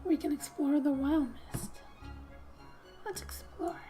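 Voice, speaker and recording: A soft-spoken woman doing ASMR gaming commentary, gently reading story text aloud and often dropping to a whisper, in an unhurried way. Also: high voice. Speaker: video game streamer. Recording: quiet background music. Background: soft music.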